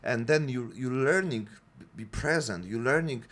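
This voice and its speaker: A man hosting a podcast, talking in animated, broken English and swearing casually. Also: mid-range voice, normal speed. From Poland. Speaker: podcast host. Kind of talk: casual conversation.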